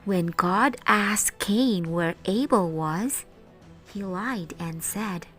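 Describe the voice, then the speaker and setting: A woman teacher reading a Bible story aloud for children, addressing them directly in deliberate phrases. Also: medium pitch, fairly bright tone, soft background music.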